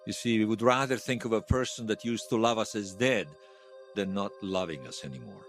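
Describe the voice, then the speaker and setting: A man's voice: lowish voice, fairly quick, soft background music, natural voice. An actor playing a regretful, bitter man who is hailed as a hero, confessing his shame in an audio drama. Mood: rueful. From Bosnia. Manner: confessional, self-reproaching. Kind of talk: fiction podcast drama acting.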